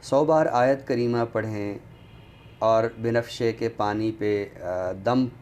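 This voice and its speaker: A man reciting poetry on a literary show in a deliberate, expressive way, returning to refrains. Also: medium pitch. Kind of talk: dramatic Urdu poetry recitation, then book talk. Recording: clearly noisy background ambience.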